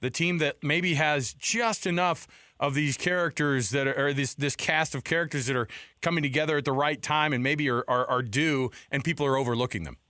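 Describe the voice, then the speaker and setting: A man's voice: a little high, fairly quick, bright, forceful tone, no echo, clean studio sound. A man co-hosting a sports radio show, working his way conversationally through a wordy, roundabout question. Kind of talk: conversational sports talk interviewing. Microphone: close studio microphone.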